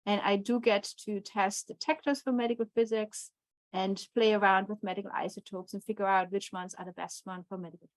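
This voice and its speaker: A woman's voice: medium pitch, steady tone, brisk, no room sound, rough recording. A woman from Germany with a German accent, giving an online physics lecture with frequent fillers and restarts. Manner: hesitant, many fillers and restarts.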